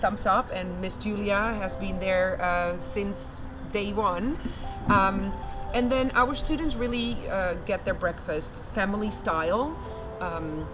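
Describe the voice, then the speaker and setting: A woman with a Dutch accent chats easily in an outdoor garden, pausing on frequent ums. Her voice sits at a middle pitch. Music plays clearly under her. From Netherlands. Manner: chatty, laughs easily, many fillers. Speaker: school director. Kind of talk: casual interview.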